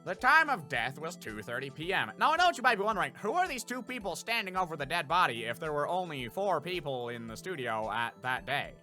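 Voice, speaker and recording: A blustery, folksy police detective from a video game, voiced by a man. The delivery is emphatic and forceful, jumping around in pitch as the case is laid out, with faint music behind. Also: big pitch swings, a little fast, few pauses. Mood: matter-of-fact briefing. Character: gruff, folksy male police detective giving a case briefing. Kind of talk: video game character dub.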